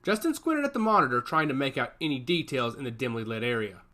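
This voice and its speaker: A man narrating a serialized story with expressive delivery. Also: mid-range voice, quick pace. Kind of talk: audiobook narration.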